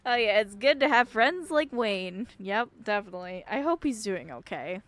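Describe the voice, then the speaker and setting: A woman streamer reading a game aloud and reacting in an expressive, casual, sweary way. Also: mid-range voice, normal speed.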